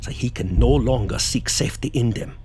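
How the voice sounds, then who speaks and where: An actor playing a commanding, urgent professor who hunts vampires, grave and emphatic, in a horror audio drama. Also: male voice, medium pitch, brisk, noisy background, lightly acted. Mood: grave, resolute.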